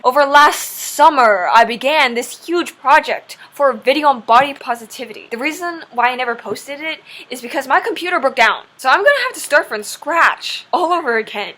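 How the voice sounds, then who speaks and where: A woman's voice: on the high side, sharp tone, low-quality recording. A seventeen-year-old woman talking to her viewers in an emphatic, opinionated personal monologue, mixing slang with formal wording. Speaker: video blogger. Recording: noticeable background noise.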